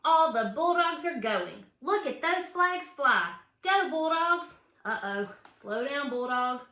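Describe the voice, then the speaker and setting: Lady reading a kids book out loud, high voice, kinda echoey room. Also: animated, slow. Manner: addresses children with questions and emphatic cheers. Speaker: children's book author. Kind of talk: read-aloud of children's picture book with chatty asides. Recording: roomy, echoing room sound.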